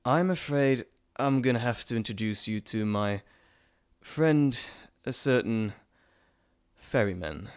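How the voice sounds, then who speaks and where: A playful trickster god, acted by a man, speaks directly to the listener in a theatrical roleplay monologue. His mid-pitched voice swings widely as he chats and teases, full of mischief. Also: lively intonation, takes it slowly, smooth. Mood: grave, explanatory. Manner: theatrical, chatty, teasing. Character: playful, teasing male Greek messenger god, cheeky trickster.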